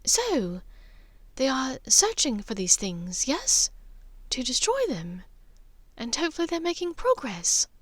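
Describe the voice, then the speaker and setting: A woman voicing a refined, anxious older aristocratic witch mother in an audiobook story, breathy, careful and gently firm. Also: high-pitched, huge pitch swings, a little slow, no room sound, voice pushed up, heavily acted. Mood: thoughtful.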